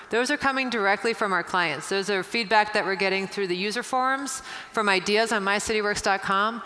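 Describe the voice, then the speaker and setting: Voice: mid-range voice, pushed and bright. A conference presenter who talks in a polished, corporate way and hands the stage to the next speaker. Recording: long hall reverb.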